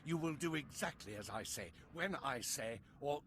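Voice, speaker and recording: Haughty, highly animated military captain. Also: male voice, moderate pace, a little hiss or hum. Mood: outraged, commanding. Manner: imperious, forceful, demanding. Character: haughty male Imperial captain, imperious, quick to outrage. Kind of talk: video game voice acting.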